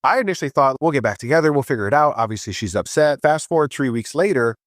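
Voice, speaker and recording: A man from Hawaii, a podcast guest, telling his story in a chatty, casual way and voicing himself with lively quotes. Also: medium pitch, fast, dry recording, studio quality. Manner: chatty, animated voice when quoting himself.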